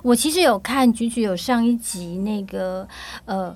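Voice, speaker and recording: A woman's voice: medium pitch, measured pace, darkish tone. A young woman, hosting a podcast interview in an eager, giggly way. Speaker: podcast host. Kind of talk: casual interview chat.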